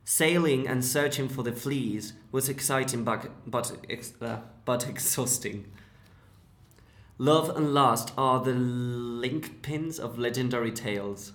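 Slow-reading Spanish-accented man. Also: medium pitch, fairly bright tone. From Spain. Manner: deliberate, with occasional restarts on words. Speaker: English learner reading aloud. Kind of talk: careful read-aloud narration. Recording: roomy echo.

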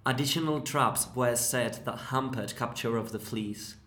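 A man with a Spanish accent reads aloud in unhurried, measured phrases. His voice sits at an ordinary middle pitch. The sound carries a roomy echo. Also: a bit bright. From Spain. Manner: deliberate, with occasional restarts on words. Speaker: English learner reading aloud. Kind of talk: careful read-aloud narration.

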